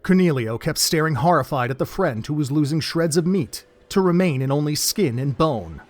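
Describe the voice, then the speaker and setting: A man narrating a gruesome horror audio drama in a measured, dramatic storytelling manner. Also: fairly high pitch, expressive.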